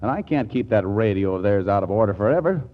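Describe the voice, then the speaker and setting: A man's voice: lowish voice, lively intonation, fast talker, lightly acted. An actor playing an earnest, put-upon young man in 1940s radio comedy, wry and exasperated. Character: earnest, put-upon young male writer telling his troubles. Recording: dull 1940s radio recording.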